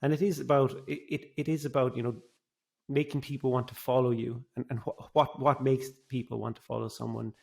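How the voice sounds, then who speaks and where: A man's voice: mid-range voice, flat delivery, brisk, creaky, a little mellow. A man hosting a podcast, chatting casually and agreeably with a guest, often repeating words as he starts again. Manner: agreeable, stumbling restarts. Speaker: podcast host. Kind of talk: casual interview chat.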